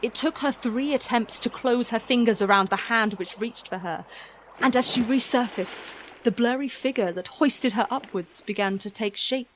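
A woman's voice: fairly high pitch, normal speed, a bit bright, slight background noise, poor audio. A woman reading a scripted fairy-tale narration in a storytelling style.

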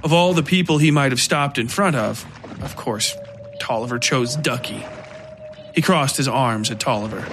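A man narrating scripted dark horror fiction as a podcast storyteller. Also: mid-range voice, normal speed, faint music behind.

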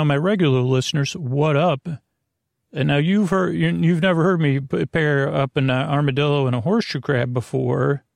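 A man hosting a bedtime podcast in a gravelly, creaky voice, rambling haltingly, trailing off and circling back. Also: on the high side, a little mellow, no room sound, clean studio sound. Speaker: podcast host.

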